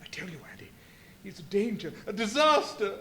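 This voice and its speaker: An actor in a live radio play playing an anxious, alarmed doctor, with an agitated, stammering, pleading manner, in an echoey venue. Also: male voice, high-pitched, sing-song, brisk, a bit bright, exaggerated voice. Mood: alarmed, urgent. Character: anxious, alarmed male doctor, horrified by a madman. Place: live performance in a brewery venue.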